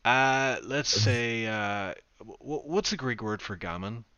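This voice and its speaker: A man's voice: medium pitch, takes it slowly, sharp tone, clean tone, no room sound. A man hosting a racing league stream, chatting loosely and poking fun at himself. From United Kingdom. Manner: chatty, rambling, self-deprecating. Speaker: league race broadcast host. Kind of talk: casual post-race commentary. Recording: dull lo-fi stream audio.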